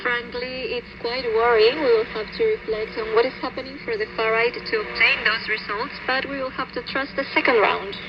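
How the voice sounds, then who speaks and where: Higher-voiced woman newsreader on noisy shortwave. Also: steady tone, moderate pace, hardly any pauses. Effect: shortwave radio. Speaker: radio news co-presenter. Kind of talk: scripted news reading. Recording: dull, noisy shortwave radio reception.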